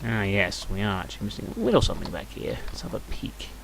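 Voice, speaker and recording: A man's voice: lowish voice, huge pitch swings, fast talker, creaky, heavy background noise. A man giving casual video game commentary, thinking aloud in a musing, dryly critical way. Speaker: let's play host. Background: music behind the voice.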